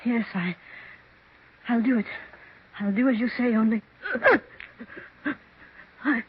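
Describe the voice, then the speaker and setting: A frightened heroine of a vintage radio ghost drama. Her voice is high and tremulous, her words slow and halting. The recording is dull, with faint hiss. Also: fairly even intonation. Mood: anxious, awed. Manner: halting, gasping, tremulous exclamations. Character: frightened, easily overwhelmed woman facing a ghost. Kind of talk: 1940s radio melodrama acting.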